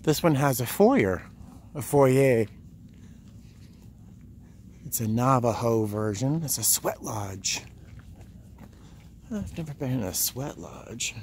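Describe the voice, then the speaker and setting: A man's voice: mid-range voice, animated, slow, long pauses, a little mellow. An American man from Rhode Island chatting casually to the viewer as he explores, thinking aloud in a wry, musing way. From Rhode Island, United States. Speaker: vlogger. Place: outdoors and inside small huts at a tourist site. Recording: outdoor ambient noise, handheld camera.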